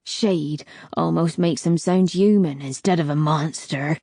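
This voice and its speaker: Lady doing a deep gravelly old crone, low and slow, swears like a pirate, dry close sound. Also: warm tone, dry recording. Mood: musing. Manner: swears freely, blunt. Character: wrinkled old desert crone, coarse, wry, foul-mouthed.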